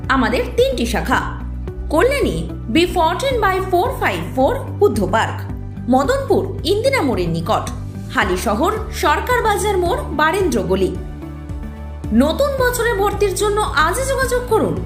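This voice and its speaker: Lady doing ad voiceover, super animated, echoey with music under it. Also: very high voice, normal speed. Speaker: advert voice-over. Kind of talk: scripted promotional narration.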